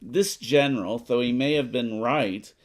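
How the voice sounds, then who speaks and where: A man's voice: mid-range voice, a little slow. A man co-hosting a board game playthrough, chatting casually and reading story text aloud.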